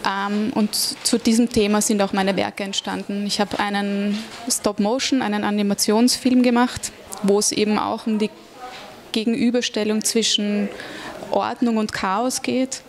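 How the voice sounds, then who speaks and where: A woman's voice: mid-range voice, a little slow. A woman in her forties, an artist speaking evenly and a little hesitantly about her work into a handheld mic in a gallery. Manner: even, slightly hesitant. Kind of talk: talking about her artwork. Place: gallery room.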